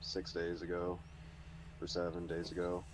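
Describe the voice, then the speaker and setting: A man in his early thirties with a deep, low voice chats casually among gamers. He explains things haltingly, and a clear humming noise runs under the recording. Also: monotone. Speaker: racer in a community race. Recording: noisy voice chat with hum.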